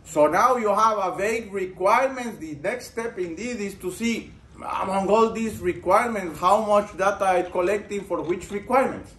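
High-voiced male lecturer in an echoey room. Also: normal speed, fairly bright tone. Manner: poses questions to the class and builds on answers. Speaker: university lecturer. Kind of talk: interactive classroom lecture. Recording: roomy lecture-room echo.

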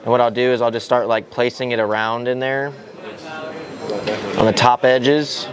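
A man's voice: medium pitch, flat delivery, normal speed. Young man explaining over crowd chatter. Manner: loose, filler-heavy explaining with many restarts. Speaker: RC racer demonstrating tire prep. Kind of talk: casual how-to demonstration. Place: large indoor hall with people at tables. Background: crowd chatter in the hall.

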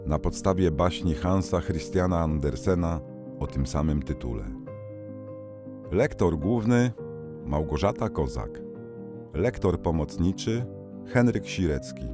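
A man with a deep voice reads credits as an announcer. He goes slowly, with clear guitar music behind him.

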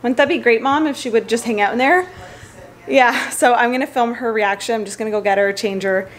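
A woman in her thirties chatting casually to a handheld camera at home, bubbly and quick to laugh. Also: a little high, rough recording. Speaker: vlogger, new mom. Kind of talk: casual vlog chat. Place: large bright home kitchen and bedroom. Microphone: camera held at arm's length.

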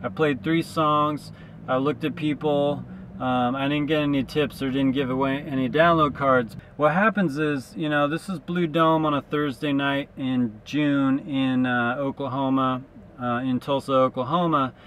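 A man in his thirties talks to a camera on his dashboard from inside his car, musing his way through a casual travel vlog. His pitch sits in an ordinary middle range, and the delivery is loose and reflective, with easy fillers. A faint hum of background noise runs underneath. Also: fairly even intonation, unhurried, fairly bright tone, low-quality recording. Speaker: traveling street musician.

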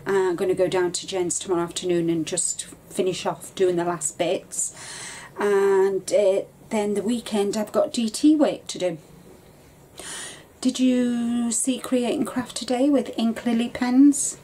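A woman talks slowly to a webcam at her desk, her voice mellow and unhurried. She rambles from one thing to the next with lots of ums. A faint ambient hum sits behind her. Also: mid-range voice, fairly even intonation, low-quality recording. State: bunged up with a cold, coughing. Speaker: craft vlogger. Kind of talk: casual chat to camera. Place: craft room at home, indoors.

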